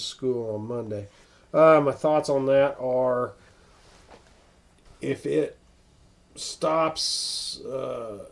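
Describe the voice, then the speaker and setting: An American man with a medium-pitched voice and plenty of fry in it. He talks very slowly and haltingly, thinking aloud as he hosts a casual live weather stream and takes questions from viewers. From northern United States. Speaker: weather livestream host.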